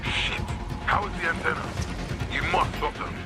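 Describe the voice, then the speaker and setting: An actor playing a commanding, theatrical military leader in a video game, emphatic and grandiose. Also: male voice, a little high, lively intonation, moderate pace, over loud music, close to an everyday voice. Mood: urgent, commanding. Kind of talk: cinematic game dialogue.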